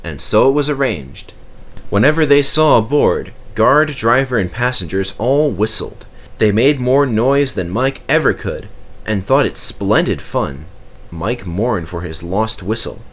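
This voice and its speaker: A man's voice: fairly low pitch, a little hiss or hum. A man narrating a children's storybook expressively as its reader.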